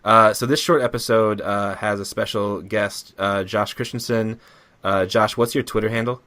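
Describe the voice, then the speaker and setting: Low-voiced man hosting, very dry sound. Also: steady tone, normal speed, fairly bright tone, dry recording. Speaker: podcast host. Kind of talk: casual chat.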